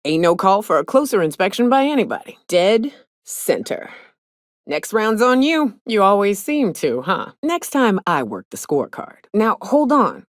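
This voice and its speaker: An actress playing a hard-drinking, trigger-happy but loyal frontier mercenary in a video game, with folksy, salty, sardonic phrasing. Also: female voice, mid-range voice, very animated, moderate pace, no room sound, studio quality, lightly acted. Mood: steady, blunt. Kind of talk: video game character dialogue.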